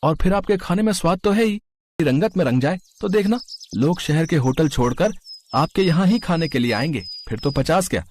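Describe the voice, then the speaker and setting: A man voicing a poor but shrewd carpenter father, coaxing and ingratiating, in an animated moral story. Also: fairly high pitch, normal speed. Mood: coaxing, persuasive.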